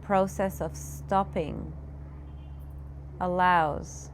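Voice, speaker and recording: Slow-paced woman guiding a meditation. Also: on the low side. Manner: gentle, unscripted instruction with pauses. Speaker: meditation teacher. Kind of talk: guided meditation. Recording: clear background noise, room ambience. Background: room ambience, children in the background.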